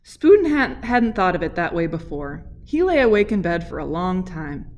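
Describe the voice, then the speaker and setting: A woman's voice: on the low side, slight background noise. American woman reading a children's storybook. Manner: expressive, deliberate storybook delivery. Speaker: PE teacher and coach reading aloud. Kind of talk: children's picture book read-aloud.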